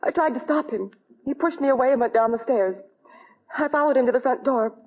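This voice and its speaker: An actress playing a fragile, distraught young wife, anguished and pleading in 1940s radio melodrama style. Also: female voice, high voice, a little fast. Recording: tinny 1940 radio broadcast.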